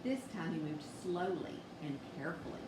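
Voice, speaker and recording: Expressive woman storyteller in an echoey room. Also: low voice, moderate pace. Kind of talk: children's bedtime story read aloud. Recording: echoey room, distant mic, noisy background.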